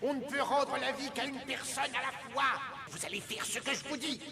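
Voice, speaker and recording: A man's voice: very high voice, moderate pace, a crowd in the background. A man voicing a proud, commanding alien warrior in a French anime dub, imperious and declamatory.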